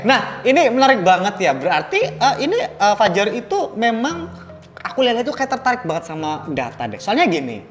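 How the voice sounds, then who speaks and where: Pembawa acara pria bersuara agak tinggi, jenaka. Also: male voice, high-pitched, fairly quick, in a large hall, faint music behind. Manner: playful, animated, joking, slangy. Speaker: podcast host. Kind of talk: casual chat interview.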